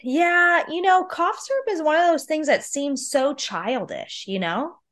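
A woman's voice: high-pitched, animated, unhurried, a bit bright. A woman in her thirties co-hosting a podcast, nasal and sick with a cold, chatting in a confiding storyteller way. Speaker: podcast co-host. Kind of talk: casual chat.

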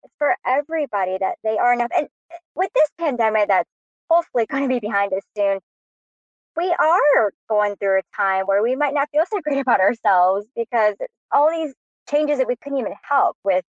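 A woman's voice: a little high, lively intonation, moderate pace, dry recording. Enthusiastic woman podcast host, dull sound. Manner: warm, complimentary, enthusiastic. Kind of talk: casual podcast interview.

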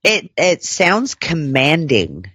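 A woman's voice: low voice, slow pace, breathy edge, dry recording, studio quality. A woman co-hosting a casual podcast chat, talking frankly with many hesitations. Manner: frank, relaxed, hesitant fillers. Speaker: podcast co-host.

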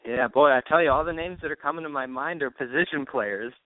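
A man's voice: on the high side, fast talker, no echo. A man chatting casually about sports as a podcast guest, a radio announcer by trade. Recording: thin phone-like line, dry.

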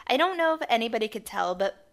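A woman in her twenties co-hosting a podcast, a bit hoarse from losing her voice, telling stories in a chatty way and laughing often. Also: on the high side, animated, fast talker. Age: 20s. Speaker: podcast co-host. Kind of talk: casual chat.

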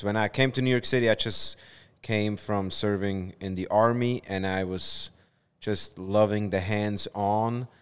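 A man's voice: lowish voice, clean tone, big-room sound. A man from Switzerland in his thirties, a non-native English speaker, telling his story conversationally as a pastor on a podcast. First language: not English. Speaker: community engagement pastor, podcast guest.

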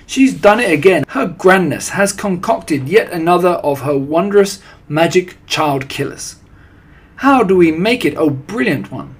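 Fawning, excitable storybook witches, as a man puts them on. The voice is pitched well up, with a husky edge, exclaiming eagerly and adoringly. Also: unhurried, exaggerated voice. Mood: awed, adoring. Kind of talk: storybook character voice.

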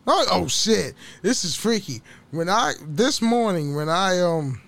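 A man with a somewhat high-pitched voice chats alone into a home mic, loose and rambling. He curses freely and laughs often, and his voice carries a slight hoarseness. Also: sing-song, unhurried, a bit bright. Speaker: podcast host. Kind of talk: casual solo podcast chat.

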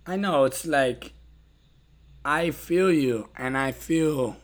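A man's voice: a little high, takes it slowly. A man hosting a casual podcast conversation, speaking directly and emphatically and often checking in after his points. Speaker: podcast host.

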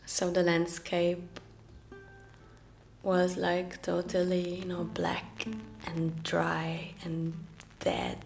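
Slow, soft-spoken woman. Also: on the low side, lively intonation, vocal fry, soft background music. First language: Norwegian. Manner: soft and reflective, with small restarts. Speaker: podcast host. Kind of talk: personal storytelling.

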